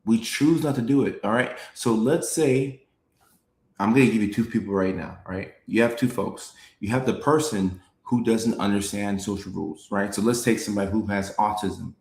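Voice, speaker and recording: A man's voice: lowish voice, moderate pace, reverberant. A man who grew up in Norway, hosting a show and explaining things in casual talk, acting out quoted voices and checking in with his listeners. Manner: animated, acts out quoted voices, repeats phrases, checks in with "right?". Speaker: show host. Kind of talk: casual explanatory talk, reading chat comments.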